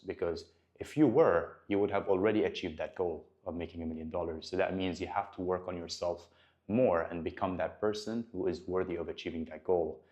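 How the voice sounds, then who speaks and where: Young man, very deep voice, earnest motivational talk to camera, personal trainer, fillers and restarts. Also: darkish tone, muffled recording. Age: 20s. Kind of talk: talking to camera about his goals.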